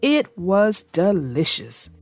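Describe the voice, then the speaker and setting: Slow, expressive woman storyteller. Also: mid-range voice, no room sound, faint music behind. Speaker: children's read-aloud narrator and host. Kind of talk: storybook narration and casual discussion.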